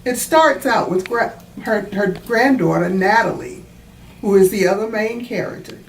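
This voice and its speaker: A woman reading a children's book aloud and explaining it in a deliberate, teacherly way. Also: medium pitch. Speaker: author reading own book. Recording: roomy, distant mic, faint background noise.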